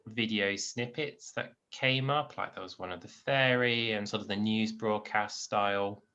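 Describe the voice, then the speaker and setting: A man's voice: lowish voice, steady tone, measured pace, bright, forceful tone, dull audio. A man casually interviewing people for feedback, putting his questions in hesitant pieces. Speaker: project presenter gathering feedback.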